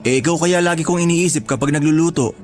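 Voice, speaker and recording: Actor as high-voiced, devoted boyfriend. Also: male voice, fast talker, darkish tone, natural voice. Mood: teasing, caring. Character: devoted, playful boyfriend, eager father-to-be. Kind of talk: Tagalog radio drama acting. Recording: music bed under dialogue.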